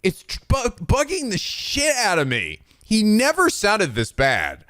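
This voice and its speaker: Animated man reacting, swearing freely. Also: sits high, a little slow, dry recording, studio quality. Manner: profane, animated, blurting interjections. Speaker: reaction video host. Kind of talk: casual video game reaction commentary.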